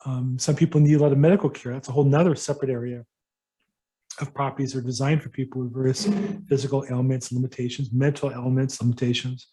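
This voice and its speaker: A middle-aged man, a real estate broker, answering questions at a workshop in a casual, halting way with many ums and restarts. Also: medium pitch, level tone, warm tone, rough recording. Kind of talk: casual advice to attendees.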